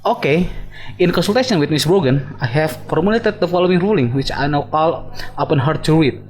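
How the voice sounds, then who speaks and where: A man's voice: fairly high pitch, expressive, a little mellow, faint music behind, natural voice, poor audio. A man playing a formal, officious convener of a hearing in a radio drama. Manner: formal, measured.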